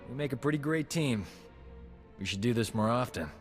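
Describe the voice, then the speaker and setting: A man's voice: medium pitch, a little fast, close to an everyday voice. A man playing a flirtatious, roguish medic in a video game, with a suave, breezy swagger. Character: cocky, flirtatious roguish male medic companion. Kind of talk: video game cutscene acting. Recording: long hall reverb, faint game music.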